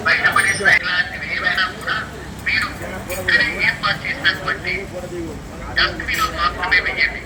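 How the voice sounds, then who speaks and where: A man reading a scripted public service announcement in a formal, instructive manner, played over a street loudspeaker. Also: sits high, fairly even intonation, moderate pace. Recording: noisy street loudspeaker playback, telephone-like.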